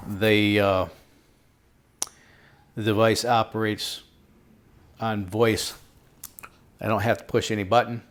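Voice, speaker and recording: A middle-aged American man chatting casually through a product review in a folksy, jokey, storytelling way. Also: on the low side. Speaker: host of a product review video.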